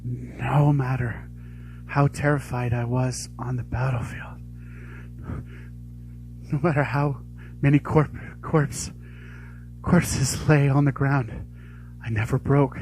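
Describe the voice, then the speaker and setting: A man voicing a tender, devoted young soldier returned from death, speaking in a hushed, halting, earnest way in audition drama style. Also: medium pitch, steady tone, soft background music, natural voice. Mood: earnest, emotional.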